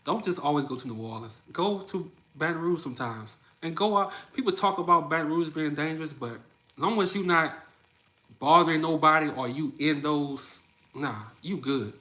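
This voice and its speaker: A man's voice: on the high side, normal speed, sharp tone. A man, chatting loosely and energetically on a livestream and often saying "bro". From Louisiana. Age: 30s. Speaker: livestream host. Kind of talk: casual livestream chat, reacting to comments.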